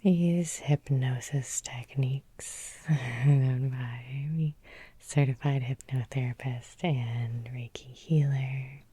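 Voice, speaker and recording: A woman leading an ASMR guided hypnosis in a breathy whisper, soothing and repetitive, with lingering pauses. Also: a bass voice, steady tone, dark tone, dry recording. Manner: slow, soothing, repeating phrases with lingering pauses. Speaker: hypnotherapist and Reiki healer leading a guided meditation.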